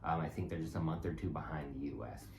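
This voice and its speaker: A man co-hosting a travel vlog, chatting casually in a relaxed, halting way with many fillers. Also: very deep voice. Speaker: vlog co-host. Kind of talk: casual chat. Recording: echoey room with faint noise.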